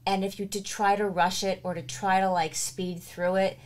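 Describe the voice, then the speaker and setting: A woman's voice: mid-range voice, flat delivery, normal speed. A woman co-hosting an interview, chatting casually and quoting what other people say. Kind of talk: conversational interview chat.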